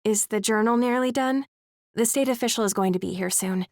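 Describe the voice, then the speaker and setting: A woman's voice: fairly high pitch, brisk, dry recording, clean studio sound, close to an everyday voice. A female actor playing a worried, skeptical woman in an amateur theater crew, in a full-cast audiobook. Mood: anxious. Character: anxious, fretting female community theater schemer.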